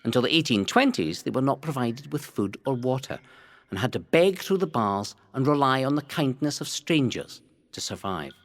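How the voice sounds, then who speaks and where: A man's voice: medium pitch, expressive. Man narrating history with dramatic, swooping delivery. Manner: theatrical, measured storytelling. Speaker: narrator of a local history audio guide.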